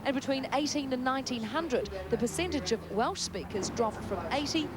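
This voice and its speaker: A woman's voice: high voice, moderate pace, over a noisy crowd. A woman delivering scripted feature narration as a reporter. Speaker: television reporter. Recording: clear street and vehicle noise behind.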